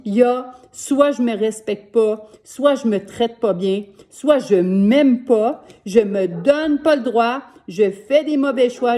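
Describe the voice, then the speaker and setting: A woman in her sixties with a Québécois French accent, giving a gentle, earnest self-help talk to camera from home as a life coach. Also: fairly high pitch. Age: 60s.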